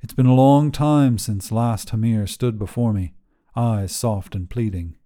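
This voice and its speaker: A man narrating a literary story as a weary, desolate immortal guardian, in a solemn, measured, brooding manner. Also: fairly low pitch, dark tone, natural voice. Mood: desolate, resigned. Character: weary, desolate immortal guardian, forsaken by gods, telling their tale.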